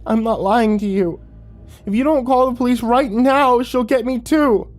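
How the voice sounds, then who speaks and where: Guy doing a crying kid, eleven, voice cracking, high pitched, begging. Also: moderate pace, darkish tone, soft background music. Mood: pleading, tearful. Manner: pleading, voice cracking. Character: frightened eleven-year-old boy, tearful and desperate.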